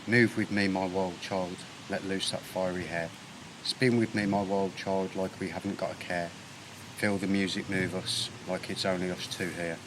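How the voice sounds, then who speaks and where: A man with a fairly low voice recites verse in measured, separated phrases. A clear hum and vehicle noise run behind his reading. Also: steady tone. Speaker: poet. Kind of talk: poetry reading.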